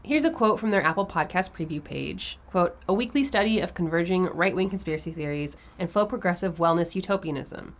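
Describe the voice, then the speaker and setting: A woman co-hosting a podcast in casual chat, with a nasal voice and vocal fry, her pitch rising and falling a lot. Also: fairly quick, hardly any pauses. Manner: animated, lots of pitch peaks and valleys. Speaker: podcast co-host.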